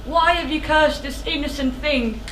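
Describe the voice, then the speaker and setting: A woman's voice: high-pitched, moderate pace, a bit bright. A woman as a fearful old shepherd, distant and echoey. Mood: sorrowful. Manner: deferential, pleading, dramatic. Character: old shepherd, humble servant, evasive and fearful. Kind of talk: stage tragedy acting. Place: indoor theatre stage. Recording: echoey theatre, distant mic, noisy.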